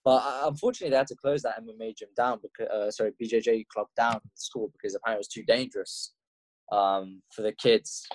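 Young guy from russia, english accent, lowish voice, rambly and chill, dry sound. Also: steady tone, quick pace, no room sound, low-quality recording. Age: young adult. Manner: rambling, laid-back, many fillers and restarts. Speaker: MMA fighter guest. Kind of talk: casual interview chat.

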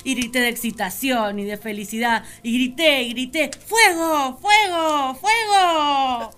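High-voiced, wonder-struck first woman. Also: soft background music. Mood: elated. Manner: expressive, dramatic pauses, self-assured. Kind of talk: dramatic first-person narration in radio theatre.

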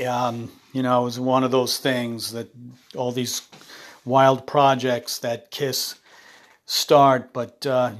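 A man hosting his own podcast while ill, his voice raspy, talking haltingly with many ums and uhs into a phone. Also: mid-range voice. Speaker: podcast host. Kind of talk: casual solo podcast monologue.

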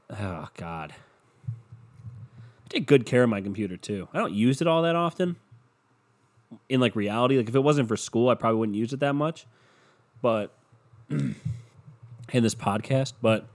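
A stuffy-nosed young man with a sore throat, hosting a podcast alone and rambling in a loose, profane, joking way. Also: mid-range voice. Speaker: podcast host. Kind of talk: casual solo podcast rant.

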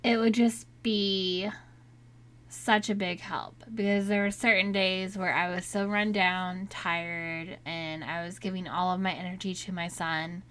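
Woman getting over a cold, a bit raspy, talks slow and easy, chatty and open. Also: lowish voice, fairly even intonation, fairly bright tone. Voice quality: raspy at times. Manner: chatty, unhurried, candid. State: recovering from a cold, slightly raspy. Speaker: podcast host. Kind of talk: casual solo talk.

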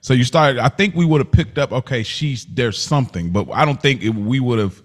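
A man's voice: mid-range voice, brisk. A man co-hosting a TV recap podcast in casual, loose, slangy conversation. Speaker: podcast co-host.

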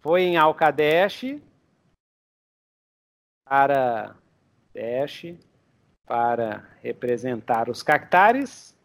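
A man's voice: mid-range voice, sing-song, very slow, pauses often. A young man, running a tabletop game as game master and improvising the story as he goes, often with a chuckle.

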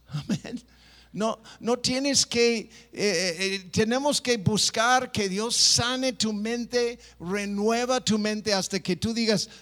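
A man's voice: sits high, a bit bright. A middle-aged man preaching a sermon, playful, mimicking people, with stop-start phrasing. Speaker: pastor.

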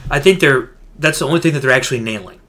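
A man's voice: mid-range voice, rapid-fire, bright, forceful tone. A man co-hosting a gaming podcast, chatting casually in an emphatic, slangy way and often repeating himself for effect. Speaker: podcast co-host.

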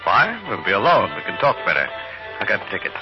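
An actor playing a charming, cynical man whose breezy charm turns quietly menacing, in a 1950s radio drama. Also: fairly low pitch, wildly swooping pitch, fairly quick. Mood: jovial. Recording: muffled old radio broadcast with music.

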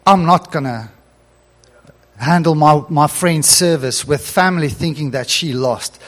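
Earnest man preaching, fairly high voice. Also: a little slow. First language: Afrikaans. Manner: earnest storytelling, rising to emphatic preaching. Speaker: pastor. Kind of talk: sermon.

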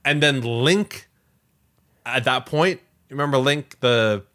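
A man co-hosting a podcast, chatting casually in an emphatic, animated way. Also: medium pitch, slow. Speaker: podcast co-host.

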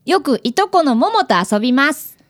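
Radio drama a boyish apprentice detective of about ten. The voice sits high and moves up and down in a lively way. He is polite, eager and childlike in how he talks. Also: normal speed. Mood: polite, chatty. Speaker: radio drama actor and narrator. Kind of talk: radio drama acting with first-person narration.

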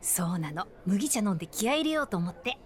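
A woman's voice: mid-range voice, very animated, fast, a little mellow, close to an everyday voice. A woman playing a friendly, scatterbrained customer in a light comic radio drama, chatty and flustered. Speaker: radio personality acting in a drama.

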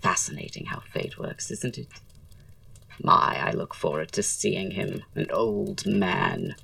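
A woman's voice: a bass voice, slow pace, gravelly, a bit bright, no echo, recorded from a distance, exaggerated voice. A woman acting in an audio drama as a flamboyant, witty, teasing male Victorian playwright, with a theatrical drawl and arch, florid wording. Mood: reminiscing, grand.

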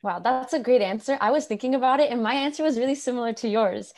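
Young woman host, relaxed and friendly, filler-heavy casual talk. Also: fairly high pitch, fast, gravelly. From India. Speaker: podcast host. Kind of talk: casual conversation.

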